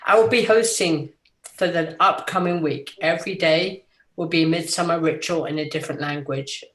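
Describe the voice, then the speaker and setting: A woman's voice: low voice, normal speed, a bit bright, reverberant, rough recording. A woman whose first language is Swedish, a guest teacher who chats in a nervous, hesitant, self-deprecating way. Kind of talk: casual chat.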